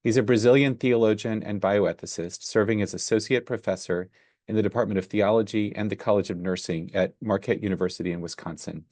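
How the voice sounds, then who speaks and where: Male moderator, lowish voice, dry close sound, reads a prepared introduction. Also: a little mellow, no echo. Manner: measured, reads a prepared bio. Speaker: seminar moderator introducing the guest. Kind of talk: reading a formal introduction.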